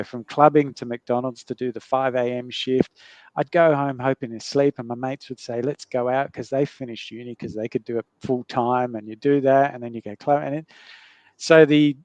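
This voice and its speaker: A man's voice: medium pitch, darkish tone, no echo. A middle-aged man tells his life story in a loose, rambling way, full of fillers and restarts. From Netherlands. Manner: rambling, many fillers and restarts, laughs between remarks. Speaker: guest sharing his health story. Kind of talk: casual conversational storytelling.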